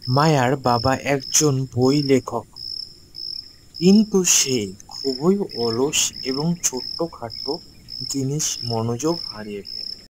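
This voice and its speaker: A man's voice: mid-range voice, lively intonation, takes it slowly, noisy background. A man narrating a scripted ghost story for children as a storyteller.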